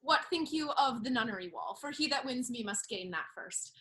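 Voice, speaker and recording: Woman playing a high, lively, saucy young noblewoman. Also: a little fast. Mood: coy challenge. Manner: teasing, saucy repartee. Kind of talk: Restoration comedy stage acting.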